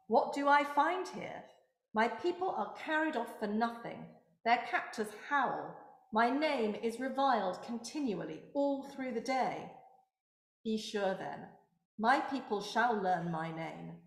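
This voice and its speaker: A woman reading scripture aloud formally at a synagogue service, in measured, declamatory phrases. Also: medium pitch, gravelly. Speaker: scripture reader. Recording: echoey room.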